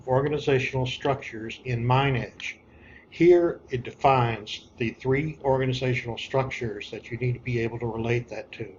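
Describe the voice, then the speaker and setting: Male course instructor, mid-pitched, informal and instructive, walking through material on screen, dull home-style recording. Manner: plainspoken, instructive, repeats key points. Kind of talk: informal screen-share walkthrough of an assignment. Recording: dull lo-fi audio with background noise.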